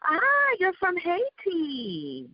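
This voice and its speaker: A woman giving spiritual advice in conversation, calm and self-assured. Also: high-pitched, very slow, dry recording, highs cut off. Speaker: spiritual guest.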